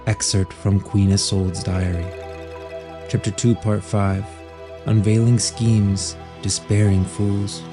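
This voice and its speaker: A man's voice: on the low side, flat delivery, slow, over music. A man giving scripted, dramatic narration for a fantasy audio drama.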